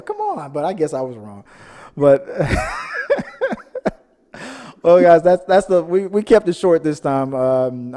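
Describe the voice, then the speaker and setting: A man hosts a casual film podcast in a soft-edged, fairly high voice. He rambles and jokes as he hunts for words, and the recording carries a long hall-like reverb. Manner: rambling, jokey, searching for words. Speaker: host of a film discussion podcast. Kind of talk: casual chat.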